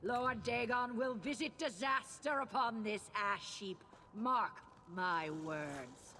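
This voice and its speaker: A menacing female cult zealot in a fantasy game, with a forceful, sharp, fairly high voice. She declaims doom in an ominous, measured way. Long reverb trails the voice. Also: full character voice. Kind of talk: video game dialogue. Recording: long hall reverb, faint background noise.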